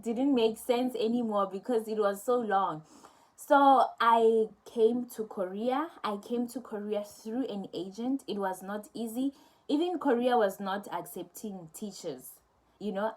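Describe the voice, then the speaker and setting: A woman's voice: a little high, unhurried, poor audio. A young woman, a teacher, telling a personal story to camera in a candid, conversational way. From South Africa. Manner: candid, conversational, with soft laughs. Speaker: teacher vlogger.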